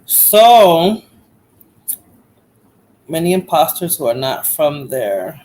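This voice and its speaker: A woman's voice: low voice, big pitch swings, takes it slowly, darkish tone, poor audio. A woman with a Bronx accent hosting a casual livestream chat, laughing often and repeating herself. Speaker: livestream host.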